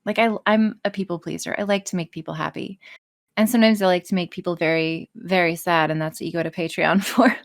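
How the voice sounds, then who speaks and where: A woman's voice: fairly low pitch, steady tone, fast, gravelly. A woman audio role-play creator talking casually on a podcast, swearing freely and poking fun at herself. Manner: frequent swearing, self-deprecating.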